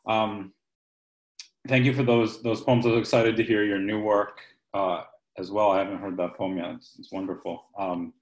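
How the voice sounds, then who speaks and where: A man's voice: on the low side, flat delivery, moderate pace, echoey room, low-quality recording. A man around forty, informally hosting a poetry reading over a video call from his kitchen. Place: home kitchen. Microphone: computer microphone on a video call.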